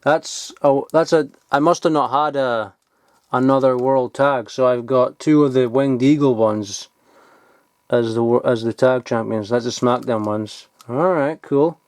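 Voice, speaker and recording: A man, chatting casually and excitedly while digging through old toys, rambling and swearing now and then. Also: mid-range voice, unhurried, dry recording. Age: 20s. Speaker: toy collector vlogger. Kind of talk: casual unboxing chat.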